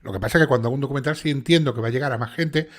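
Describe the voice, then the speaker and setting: A man with a strong Sevillian Andalusian accent, hosting a casual livestream chat and checking in often with his listeners. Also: mid-range voice. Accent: Sevillian Andalusian Spanish. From Seville, Spain. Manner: fast, chatty, with many restarts and "¿vale?" checks. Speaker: channel host and narrator.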